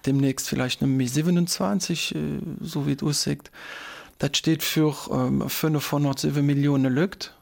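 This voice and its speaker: Gravelly man in East Belgian dialect. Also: on the high side, steady tone, normal speed. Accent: East Belgian German dialect. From German-speaking Belgium. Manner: explaining step by step, many hesitation sounds. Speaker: politician guest.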